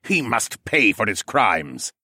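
A man voicing a vengeful raptor-man regent in an audiobook, with a slow, growled delivery. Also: low voice, wildly swooping pitch, pushed and bright, slightly rough, no room sound, clean studio sound, heavily acted. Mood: grim.

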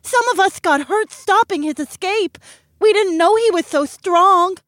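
A terrified young fox girl from a storybook, her voice pitched very high and trembling with tears and breathlessness. She pleads in a dry, close recording, with a man acting her out. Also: a little slow, a bit bright, no echo. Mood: tearful.

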